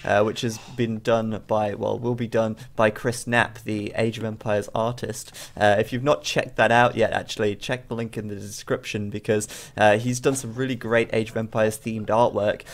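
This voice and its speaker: A man's voice: lowish voice, level tone, normal speed, hardly any pauses. A young man with a cold and a stuffy nose, tired late at night, casting a strategy game match in rambling commentary full of fillers. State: bunged up with a cold. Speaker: game caster.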